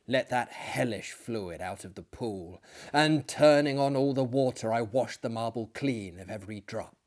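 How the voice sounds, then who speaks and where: A man voicing a weary, haunted friend in a dramatic storybook reading, speaking gravely and deliberately. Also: lowish voice, lightly acted. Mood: grim, resolute. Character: weary, haunted male friend recounting deaths.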